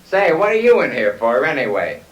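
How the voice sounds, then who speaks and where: A man's voice: mid-range voice, very animated, a little fast, very noisy, lightly acted. A man playing a streetwise petty thief with tough, slangy talk in a 1950s radio sitcom. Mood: gruff. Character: streetwise male petty thief in a jail cell.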